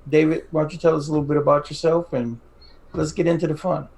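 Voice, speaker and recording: Higher-pitched man with a creaky voice. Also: little pitch movement, fast talker, darkish tone, poor audio. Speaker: podcast co-host. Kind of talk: casual interview chat.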